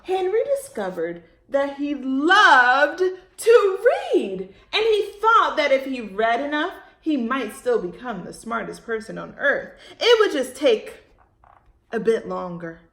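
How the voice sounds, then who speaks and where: Slow, animated high-voiced woman reading to children. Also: echoey room. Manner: expressive, dramatic pauses, asking listeners questions. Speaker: children's storytime reader. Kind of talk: animated read-aloud for young children.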